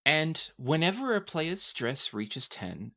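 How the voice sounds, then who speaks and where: Deliberate man running a tabletop game. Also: on the high side, sing-song, sharp tone. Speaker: game master. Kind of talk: narrating a tabletop roleplaying game.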